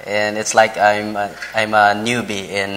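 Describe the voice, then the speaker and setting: A man in his thirties from the Philippines, with a Filipino accent and English as a later language, speaking to a church in a halting, polite way as a visiting preacher. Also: fairly low pitch, flat delivery, fairly bright tone, slight background noise. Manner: halting, frequent pauses and repeated words, polite. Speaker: visiting preacher, Bible college vice president. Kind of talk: church sermon introduction and scripture reading.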